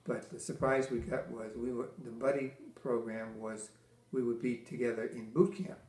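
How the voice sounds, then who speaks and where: An older man from Massachusetts, a military veteran, answering interview questions with halting restarts, many fillers and dry teasing humor. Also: mid-range voice, steady tone, a little mellow. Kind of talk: oral history interview. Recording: echoey room.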